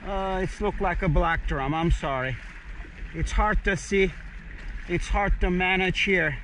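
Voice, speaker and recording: A man fishing from a kayak, giving excited casual commentary to viewers, recorded on a head-mounted action camera outdoors on the water. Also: sits high, measured pace, poor audio. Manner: excited, talks to viewers in short bursts. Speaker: fishing vlogger. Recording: faint wind and water noise outdoors.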